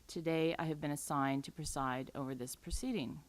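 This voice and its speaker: Low-pitched woman, judge running a formal hearing, measured and procedural. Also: fairly even intonation. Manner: measured, procedural, spells out case numbers slowly. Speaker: administrative law judge.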